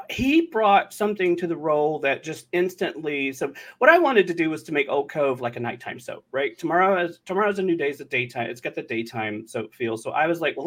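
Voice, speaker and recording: A man talking casually as a writer guest on a podcast, halting and repeating words as he thinks aloud. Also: a little high, fairly bright tone. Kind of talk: casual interview chat.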